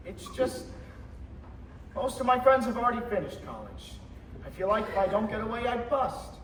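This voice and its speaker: A man's voice: high voice, big pitch swings, normal speed, a bit bright. A young male actor playing an eager, restless small-town young man with big dreams, in a stage radio play. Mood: restless, earnest. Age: teenager. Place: high school theater stage. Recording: roomy stage recording, distant mic, faint noise.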